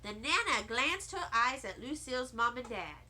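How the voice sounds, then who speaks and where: A woman giving an expressive, animated and deliberate narration of a children's audiobook. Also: fairly high pitch. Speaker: storybook reader.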